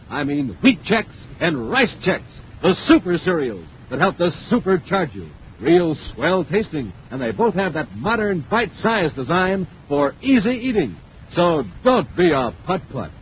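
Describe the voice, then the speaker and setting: High-ish, punchy male radio announcer. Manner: punchy, emphatic. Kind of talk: 1950s radio scripted narration and advertising. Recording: dull, faintly noisy 1950s radio recording.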